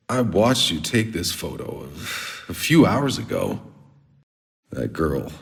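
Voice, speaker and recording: Deep, gravelly, world-weary soldier. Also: male voice, long reverb tail, full character voice. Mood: demanding, tense. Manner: blunt, sardonic, casual and profane. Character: gruff, world-weary sardonic male soldier and survivor. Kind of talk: video game character dialogue.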